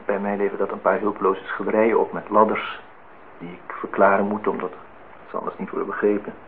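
An actor playing a polite, reflective, wistful office worker in a Dutch radio drama, speaking formally and deliberately. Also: male voice, on the low side, close to an everyday voice. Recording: tinny, band-limited old radio recording, faint noise.

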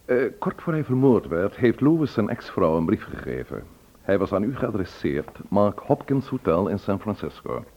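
A man's voice: on the low side, very animated, normal speed. An actor playing a courteous, persistent man investigating a murder in a radio drama, with hesitant fillers before his pointed questions. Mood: polite, persistent. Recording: dull, lo-fi old radio recording.

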